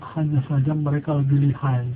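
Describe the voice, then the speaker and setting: A man's voice: fairly high pitch, little pitch movement, a little fast. A man narrating a fable in a casual storytelling style, with chatty asides to his listeners. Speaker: narrator in pirate persona. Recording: tinny lo-fi with faint noise.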